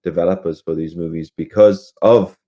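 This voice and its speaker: A man's voice: sits low, steady tone, normal speed, mellow, highs cut off. A man co-hosting a podcast, talking casually about games and films. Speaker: podcast co-host.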